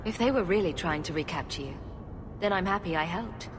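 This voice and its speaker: A woman's voice: lowish voice, expressive, brisk, noisy background, lightly acted. An actress playing a female hero in a game, speaking directly and plainly. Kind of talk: video game dialogue. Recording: game music underneath. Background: game score.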